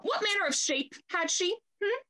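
A woman's voice: very high voice, lively intonation, normal speed, bright, forceful tone, heavily acted. A young woman playing a jealous, imperious jilted lady in a Restoration comedy, melodramatic and haughty. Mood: outraged, jealous. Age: young adult. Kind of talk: theatrical period stage acting over video call. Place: indoor room at home, on video call.

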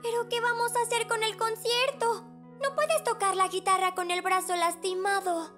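A woman's voice: very high voice, level tone, normal speed, pushed and bright, soft background music. A woman voicing a cheerful five-year-old girl in a children's cartoon, with a Latin American Spanish accent and a childlike, exclamatory manner. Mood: disappointed. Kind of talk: children's animated musical dubbing.

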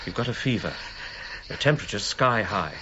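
An actor as an animated, stubborn gentleman archaeologist. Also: lowish voice, a little fast, muffled recording, background noise, lightly acted. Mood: concerned, disapproving. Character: earnest, stubborn gentleman archaeologist, proud and anxiously possessive. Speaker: actor in a radio drama. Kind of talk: period radio drama acting.

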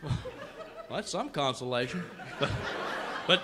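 An actor playing a naive, hesitant cowboy sidekick with a folksy, halting manner in an old radio serial parody. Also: male voice, fairly high pitch, expressive, big-room sound, noisy background. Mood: fretful.

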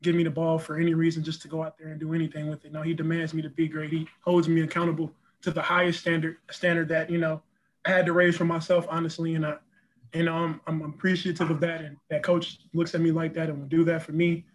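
An earnest young man answers post-game questions over a video call from a room in front of a press backdrop. His voice sits a little high and stays flat, and he leans on "you know" and repeated words. A faint hiss sits under the call. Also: quick pace. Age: young adult. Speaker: college basketball player.

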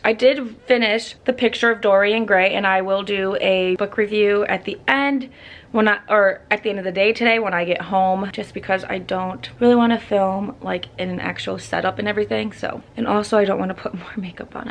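A woman in her twenties chatting casually as a vlogger at home, a bit hoarse from a sore throat, rambling with lots of "like.". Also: medium pitch. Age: 20s. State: slightly hoarse, losing her voice. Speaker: lifestyle vlogger. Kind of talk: casual vlog chat. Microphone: handheld compact camera.

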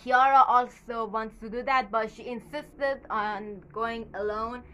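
A woman's voice: a little high, steady tone, unhurried, fairly bright tone, clear, resonant tone. A young woman whose first language is Farsi, chatting in a rambling, casual way with many fillers and a slightly nervous edge, recorded in a small bedroom on a camera at desk distance. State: a little nervous. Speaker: YouTuber. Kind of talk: casual movie commentary.

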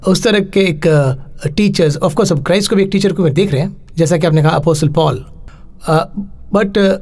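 A middle-aged man hosting a studio talk show, asking questions haltingly and mixing in English phrases. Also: high voice, a little mellow. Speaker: host.

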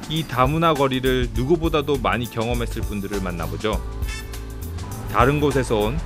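A man narrating a documentary and interviewing guests in an expressive scripted delivery. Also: mid-range voice.

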